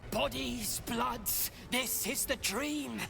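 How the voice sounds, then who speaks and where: A woman's voice: a little high, extremely slow, gravelly, music in the background, heavily acted. A woman voicing a small, pushy, bloodthirsty goblin girl merchant in a video game, blunt and childlike with broken grammar. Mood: gleeful, pushy. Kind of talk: video game character acting.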